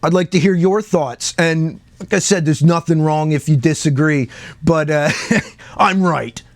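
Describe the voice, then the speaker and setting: A man's voice: on the high side, measured pace, no echo. A man hosting a casual music commentary video, speaking in a conversational, emphatic and opinionated way. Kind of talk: casual opinion talk to camera.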